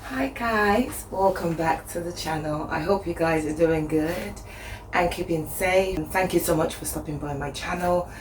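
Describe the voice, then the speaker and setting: A woman vlogging casually as she cooks at home. Her voice is husky from the flu, and she talks chattily with plenty of fillers. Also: fairly low pitch, moderate pace. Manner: chatty, upbeat, thinks aloud with fillers. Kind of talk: casual cooking vlog. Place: home kitchen. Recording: echoey room, faint background noise.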